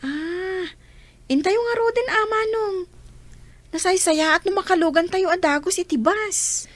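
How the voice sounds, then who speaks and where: A woman's voice: very high-pitched, measured pace, dry recording. A woman playing an eager young provincial girl in a radio drama. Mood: curious, eager.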